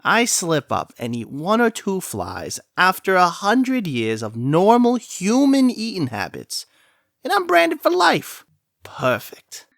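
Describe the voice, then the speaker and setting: Male actor for indignant comic-dub frog man. Wildly swinging pitch, slow, self-pitying whine. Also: huge pitch swings, vocal fry, fairly bright tone, no room sound, clean studio sound, heavily acted. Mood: indignant, aggrieved. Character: whiny, put-upon fly-eating frog man.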